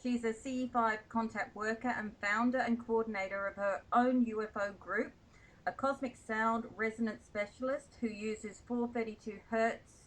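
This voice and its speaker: A chatty woman hosts a radio talk show, her voice sitting a little high. She introduces guests warmly, giggles at her own stumbles and keeps things casual. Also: steady tone, moderate pace, poor audio. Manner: giggly, self-deprecating, chatty. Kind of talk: casual radio interview chat.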